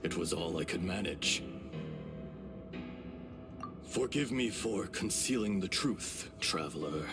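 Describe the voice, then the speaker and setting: An actor playing a calm, solemn ancient dragon spirit in human form, speaking gravely and formally in a video game. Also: male voice, low voice, loud music behind the voice. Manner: measured, grave, formal explaining. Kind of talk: dramatic video game voice acting.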